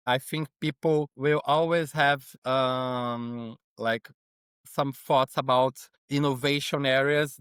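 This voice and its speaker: A Brazilian man speaks conversational English as an interview guest, with a Brazilian accent from his native Portuguese. His voice is smooth and mid-pitched, recorded dry and close. He often tags his points with a checking "right?". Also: fairly bright tone, dry recording. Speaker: podcast guest, innovation consultant.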